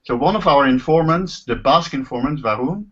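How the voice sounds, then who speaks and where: Guy professor hosting an online chat, kinda halting, rephrases a lot, dull lo-fi call sound. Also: mid-range voice, animated, fairly bright tone. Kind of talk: informal hosting of a live video discussion. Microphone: video call. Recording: muffled lo-fi video call audio.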